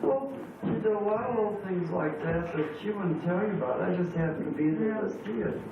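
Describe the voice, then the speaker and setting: Higher-voiced elderly man, echoey far-off recording. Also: a little fast. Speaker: family member recalling memories. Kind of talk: casual oral history storytelling. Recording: tinny, echoey, distant, noisy home recording.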